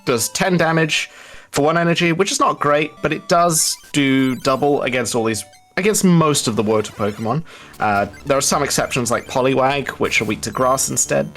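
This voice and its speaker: A man giving live gameplay commentary, explaining things step by step with halting pauses. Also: mid-range voice, a bit bright. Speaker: speedrun commentator. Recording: music behind the voice.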